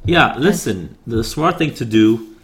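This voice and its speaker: A man with a raspy voice chatting casually as co-host, thinking aloud with fillers and questions. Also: mid-range voice, lively intonation, slow, a little hiss or hum. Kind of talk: casual chat. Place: home in Japan.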